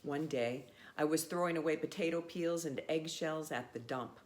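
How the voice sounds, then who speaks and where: A woman reading a children's storybook aloud in a measured, expressive way with pauses. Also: low voice, a little hiss or hum.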